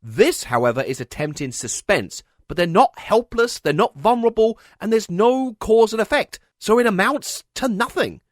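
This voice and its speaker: A man's voice: sits high, animated, normal speed, few pauses, no room sound, clean studio sound. A man delivering a scripted comedic film critique as a voice-over, sarcastic, emphatic, exasperated and sweary. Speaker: video essayist, film reviewer.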